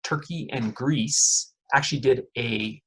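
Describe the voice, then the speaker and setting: Middle-aged prof guy lecturing casually, kinda husky, asks the room questions. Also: mid-range voice, takes it slowly, pushed and bright, low-quality recording. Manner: friendly, quizzes the audience, many fillers like uh and okay. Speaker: economics professor giving a guest talk.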